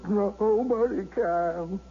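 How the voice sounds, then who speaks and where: A stammering, humbled artist. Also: male voice, high-pitched, very slow, loud music behind the voice, heavily acted. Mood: bitter. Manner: hesitant, stammering. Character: paralyzed male artist, humbled and regretful. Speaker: lead in a radio drama. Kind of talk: 1970s radio drama acting. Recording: slightly dull 1970s radio broadcast with faint hiss.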